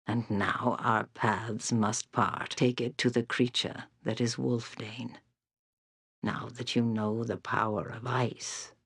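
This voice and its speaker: Elderly female seer, fantasy game, husky aged voice, solemn, portentous, weary, very dry close sound. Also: very deep voice, no echo, heavily acted. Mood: weary, mysterious. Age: older. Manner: slow, grave, portentous. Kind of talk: dramatic fantasy game voice acting.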